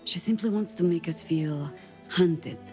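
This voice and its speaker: A woman playing a wary, strategic adventurer in a video game, measured and calculating. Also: fairly low pitch, warm tone, soft background music, natural voice. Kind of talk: video game character acting.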